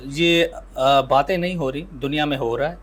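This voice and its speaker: A man with a Pakistani accent, an ENT surgeon giving measured explanations in an interview and mixing in English terms. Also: on the high side, fairly bright tone.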